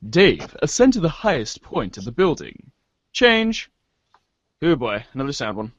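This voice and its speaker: Casting: male amateur narrator, mock-grave and commanding, unhurried with big pitch swings, slightly muffled recording. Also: very animated, no echo. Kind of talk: dramatic amateur reading of webcomic narration.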